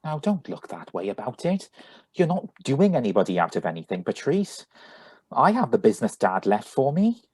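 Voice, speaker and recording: A man acting an earnest, tender young suitor, husky voice. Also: mid-range voice, wildly swooping pitch, moderate pace, a little mellow, no echo. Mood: reassuring. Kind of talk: live reading of a radio drama script.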